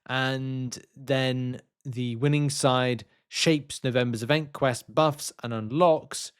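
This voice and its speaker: A man's voice: mid-range voice, steady tone, slow, clear, resonant tone. Man casually talking through gaming news. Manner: hesitant, rambling, thinking aloud. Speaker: gaming video host. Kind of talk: casual game news commentary, reading announcements and musing.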